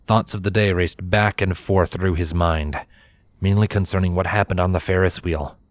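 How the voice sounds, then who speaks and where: A man's voice: deep voice, fairly even intonation, normal speed, no echo. A man reading a scripted story aloud as its narrator. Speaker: narrator, fan fiction reader.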